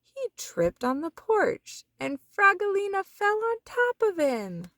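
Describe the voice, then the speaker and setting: Very animated woman reading a children's story. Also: extremely high pitch, no echo, studio quality. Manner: expressive, drawn-out words and sound effects. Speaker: children's book reader. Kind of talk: animated storybook read-aloud.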